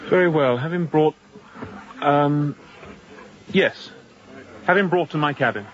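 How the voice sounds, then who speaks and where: A young sea captain in a radio drama, with a lightly raised pitch. He speaks in clipped, guarded phrases and gives orders curtly. The sound is somewhat lo-fi. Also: takes it slowly. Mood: formal, commanding. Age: young adult.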